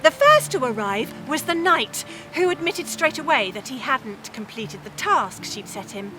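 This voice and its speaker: A woman telling a humorous medieval tale theatrically, with dramatic pauses and emphasis. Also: high-pitched, big pitch swings, noisy background. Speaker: storyteller.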